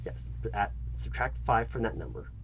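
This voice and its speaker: A man performing a comedic job interview roleplay, speaking straight to the listener in a fussy, halting, repetitive manner. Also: lowish voice, lively intonation, a little hiss or hum. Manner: fussy, halting, repeats himself, addresses listener directly. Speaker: ASMR channel host acting as job interviewer.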